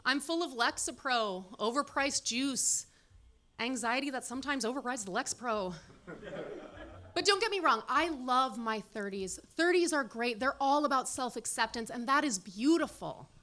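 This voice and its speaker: A woman's voice: high voice, lively intonation, moderate pace, pushed and bright. A woman in her late thirties performing a stand-up set at a comedy club, delivering dry, self-deprecating punchlines. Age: 30s. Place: comedy club stage with live audience.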